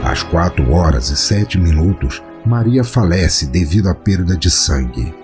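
A man with a Brazilian Portuguese accent narrates a scripted horror story in a measured, solemn, dramatic way. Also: low voice, lively intonation, music in the background. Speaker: podcast narrator.

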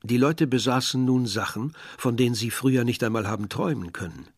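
Ein Schauspieler spielt den nachdenklichen jungen Dörfler, der in einem literarischen Hörspiel von seiner Reise erzählt. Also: male voice, mid-range voice, a little fast, husky, no room sound, clean studio sound. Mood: wry. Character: weary, observant young male villager narrating a journey. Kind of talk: literary radio drama narration.

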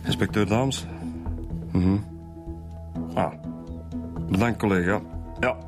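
A man's voice: fairly low pitch, takes it slowly, over loud music. An actor with a Flemish accent playing a down-to-earth, slightly weary male police inspector in a radio drama, speaking colloquially and matter-of-factly. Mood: businesslike. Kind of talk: naturalistic radio drama dialogue.

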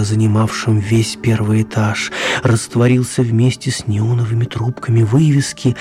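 An actor playing a storyteller, narrating a children's radio tale with measured dramatic pauses. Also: male voice, mid-range voice, mellow, lightly acted. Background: music.

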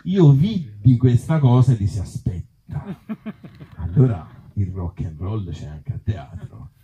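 A Tuscan man with a very mellow, low voice speaks live as an author and actor. He is wry and self-mocking, slipping into hesitant asides. The recording is muffled and dull. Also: expressive, a little slow. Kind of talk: live reading of absurd comic pieces and casual talk with audience. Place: outdoor festival event.

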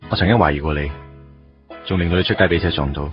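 A man playing a soft-hearted, sardonic young lover in a Hong Kong Cantonese radio drama, in an understated way. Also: sits low, fast talker, close to an everyday voice. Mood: gentle. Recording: muffled, guitar music underneath.

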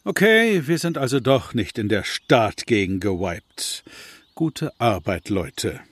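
A man's voice: medium pitch, animated, takes it slowly, no echo. A man voicing a sarcastic, grumpy male druid in a parody audio drama, with a dry, mocking way of talking.

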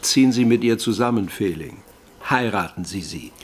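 An actor playing a bookish, melancholy doctor with a brittle voice, given to solemn pronouncements in a radio drama. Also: male voice, fairly low pitch, expressive, normal speed, lightly acted. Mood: dry, urging.

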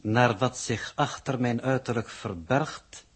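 An actor playing a pedantic, formal alien coordinator who reports in stilted, ponderous phrases in a 1960s radio drama. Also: male voice, mid-range voice, slow, breathy edge. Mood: grave, formal.

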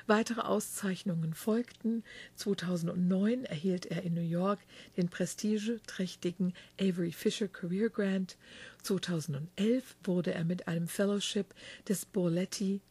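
Woman radio host, mellow husky voice, chatty reading with slips and self-corrections. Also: fairly low pitch. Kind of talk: reading a guest biography, then interviewing. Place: backstage dressing room.

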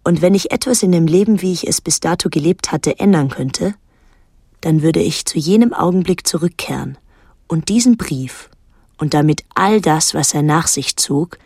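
A woman's voice: sits low, normal speed, slightly rough, no echo. A guarded, brooding young island woman. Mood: reflective. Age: young adult. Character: guarded, brooding eighteen-year-old island girl telling her story. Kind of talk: literary radio drama narration. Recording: dry close studio, music underneath. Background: soft music.

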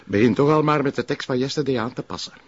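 An actor playing a wry, playful Flemish police colleague with a husky voice, teasing his partner in an audio drama. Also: medium pitch, quick pace, lightly acted. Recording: muffled, dull audio.

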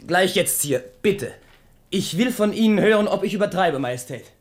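An actor playing a devoted duke of thirty, an earnest courtier in love, in a German radio drama. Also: male voice, high voice, expressive, normal speed, a little hiss or hum. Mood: rapturous, eager.

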